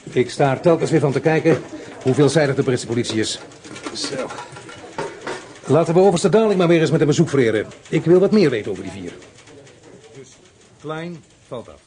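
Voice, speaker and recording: An actor playing a brisk, businesslike middle-aged police inspector who gives clipped orders. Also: male voice, fairly high pitch, expressive, dark tone, natural voice. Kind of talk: radio play acting.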